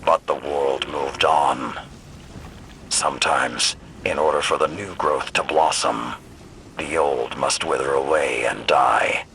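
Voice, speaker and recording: A man playing a grim, stern old warlord veteran in a video game cutscene, with a gravelly, measured voice. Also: very deep voice, steady tone, slight background noise, heavily acted, poor audio. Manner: measured, weighty, stern delivery. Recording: loud music and effects underneath.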